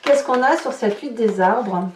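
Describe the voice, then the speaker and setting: Higher-voiced woman, distant in an echoey room. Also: measured pace. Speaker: tarot reader, channel host. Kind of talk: informal tarot reading addressed to viewers. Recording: distant mic, roomy echo, noticeable hiss.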